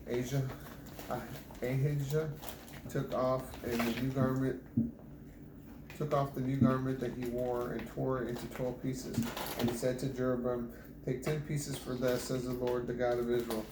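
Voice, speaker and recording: A man reads scripture aloud at home, keeping an even, unvaried tone and restarting whenever he trips over a name. His voice sits at a middling pitch. The room is echoey, and steady background noise runs under the reading. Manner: stumbles and restarts on hard names. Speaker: Bible reader.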